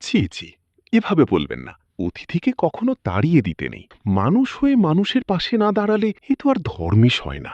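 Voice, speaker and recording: A man's voice: fairly high pitch, huge pitch swings, normal speed, a little mellow, no echo, dull audio. A man voicing a poor, kind-hearted village traveller in a cartoon moral story, polite and theatrical in delivery. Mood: kind, reassuring.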